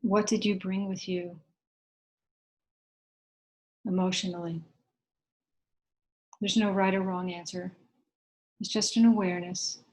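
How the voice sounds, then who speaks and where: A woman with a slightly low voice guides a meditation. She speaks gently and slowly in short, soothing phrases. Long pauses separate her instructions. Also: little pitch movement, rough recording.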